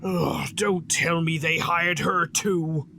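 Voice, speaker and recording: An actor playing a haughty, sardonic phantom creature of the opera house in an audio drama, theatrical and disdainful. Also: male voice, a little high, fairly even intonation, slow pace, creaky, music in the background. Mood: scornful, disdainful.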